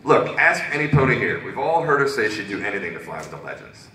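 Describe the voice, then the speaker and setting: A man's voice: lowish voice, big pitch swings, fast, sharp tone, echoey room, distant mic, voice pushed up, poor audio. A man voicing a blunt, scornful working-class pony with slangy speech and a Brooklyn accent. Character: brash, scornful working-class stadium reserve ponies.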